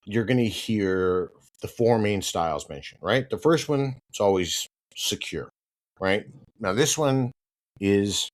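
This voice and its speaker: A stuffed-up man with a slightly nasal, somewhat low voice, talking through his topic alone as a podcast host. He keeps it conversational, checks in with a frequent "right?", and gets firm and emphatic when he pushes back on advice he dislikes. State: congested with hay fever. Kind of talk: solo podcast monologue.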